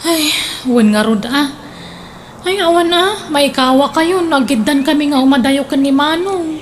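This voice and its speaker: Cheerful adult daughter in a radio drama, high and teasing, voiced by a woman. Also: measured pace, a little hiss or hum. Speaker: grown daughter. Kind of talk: Ilocano radio drama acting.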